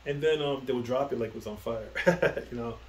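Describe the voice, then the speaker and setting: A man's voice: mid-range voice, brisk, roomy sound. A middle-aged man from the Bronx, talking as the guest in a casual interview, rambling with many fillers and restarts. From the Bronx, New York. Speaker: guest, comic book writer. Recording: faint background noise.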